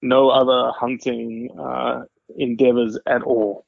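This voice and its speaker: A man's voice: medium pitch, level tone, takes it slowly, creaky, no echo. South African man, dry muffled podcast sound. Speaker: podcast guest. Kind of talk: casual interview storytelling.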